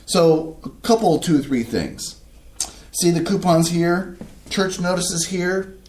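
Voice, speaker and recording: A man's voice: high voice, slow pace, a little mellow, slight background noise. An actor in a community staged reading playing a fussy, orderly Midwestern husband and father who grumbles as he explains.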